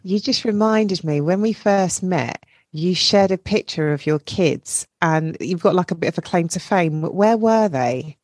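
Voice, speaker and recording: A woman's voice: fairly low pitch, animated, unhurried, darkish tone, dry recording, studio quality. A woman hosting a podcast, asking questions in a friendly, chatty way. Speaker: podcast host. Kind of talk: podcast interviewing.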